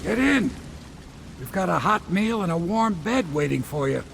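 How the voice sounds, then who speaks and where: A man playing a weathered, kindly working-class boatman in a video game, plain-spoken and unhurried. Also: sits high, sing-song, gravelly, a little hiss or hum, full character voice. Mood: welcoming. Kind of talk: video game character dialogue. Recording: loud music behind the voice.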